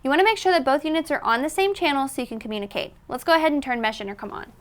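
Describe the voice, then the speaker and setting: A woman's voice: sits high, very fast. A woman presenting a product tutorial in an instructional, step-by-step manner. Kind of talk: tutorial narration.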